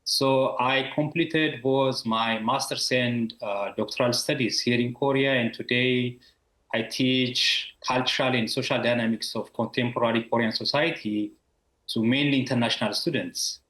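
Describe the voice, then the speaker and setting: A man's voice: mid-range voice, fairly even intonation, normal speed, fairly bright tone, echoey room, rough recording. A man from Ethiopia, a university professor in his thirties, answering interview questions over a video call with many fillers and restarts.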